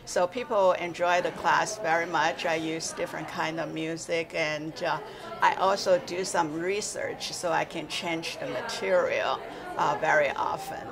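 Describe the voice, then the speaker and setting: Brief: woman in her sixties, exercise teacher, slow and gravelly, halting. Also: sits low, steady tone, crowd noise. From born in China, raised in Taiwan. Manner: halting, with frequent uh fillers and simple grammar. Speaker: exercise instructor. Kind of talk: casual interview. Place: indoor library room, seated in armchair.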